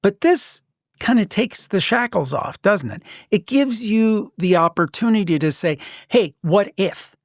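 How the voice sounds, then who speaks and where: A man's voice: high voice, expressive, measured pace, dry recording, clean studio sound. A man hosting a podcast, speaking conversationally as an interviewer who shares asides of his own. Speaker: podcast host.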